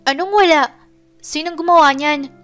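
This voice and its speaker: Woman narrator as eight-year-old girl, high pitch, bossy, insistent, lively, childlike, storybook, background music. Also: moderate pace.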